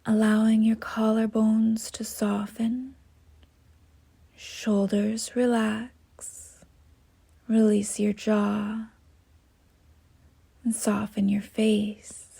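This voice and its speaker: A woman guiding a yoga nidra meditation in a soft, breathy, half-whispered voice, gentle and unhurried with long pauses. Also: on the high side, monotone. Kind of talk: guided meditation, slow soothing instruction.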